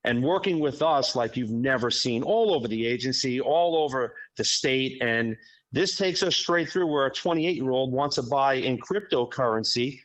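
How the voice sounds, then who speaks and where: A man's voice: mid-range voice, normal speed, a bit bright, rough recording. A man who is a county sheriff, a guest on a radio talk show, speaking emphatically and repeating his points. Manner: emphatic, praising, repeats phrases. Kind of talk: radio interview.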